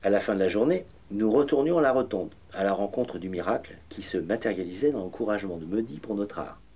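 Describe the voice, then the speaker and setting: Male documentary voice-over, an actor as a reflective painter recalling bohemian days; low-pitched, husky, measured, literary delivery. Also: lively intonation, mellow. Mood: reflective, nostalgic. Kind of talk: scripted first-person narration.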